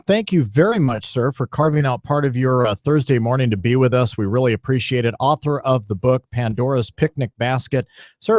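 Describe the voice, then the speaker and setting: A man's voice: mid-range voice, darkish tone, dry recording, clean studio sound. A man hosting a morning talk radio interview in a courteous, folksy, conversational manner.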